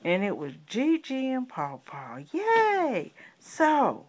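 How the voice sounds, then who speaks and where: A middle-aged woman, a grandmother improvising a children's story with long pauses and coaxing questions. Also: sits high, huge pitch swings. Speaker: grandmother telling a bedtime story.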